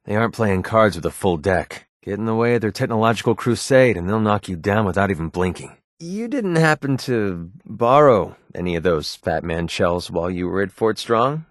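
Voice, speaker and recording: Low-voiced wry young mercenary man voiced by an actor. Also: lively intonation, normal speed, few pauses, dry recording, studio quality, natural voice. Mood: concerned, earnest. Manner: wry, sarcastic, casual. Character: wry young male mercenary, cocky but caring father. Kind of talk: video game companion dialogue.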